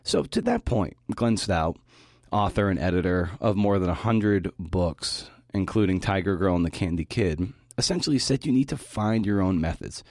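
Low-voiced man giving a conversational talk. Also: expressive, normal speed, a little mellow, no room sound, clean studio sound. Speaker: podcast host giving a talk. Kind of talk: prepared talk with conversational asides.

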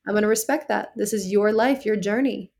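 A woman in her thirties speaks at an ordinary middle pitch. She is blunt and direct, yet supportive as she answers a friend's worry. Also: a little fast, creaky, darkish tone, slight background noise. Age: 30s. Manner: direct and frank, reassuring. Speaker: podcast guest. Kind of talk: casual conversation.

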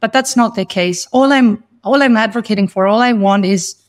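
A woman guest on a podcast, an English teacher, makes her case with steady passion. She stresses her points and repeats them for emphasis. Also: mid-range voice, moderate pace. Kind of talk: conversational interview.

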